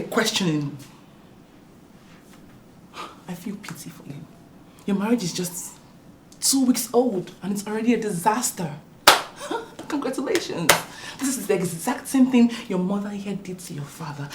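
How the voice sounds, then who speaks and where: A woman's voice: medium pitch, background noise, close to an everyday voice. An actress playing a sarcastic sister who lectures and mocks her brother's wife.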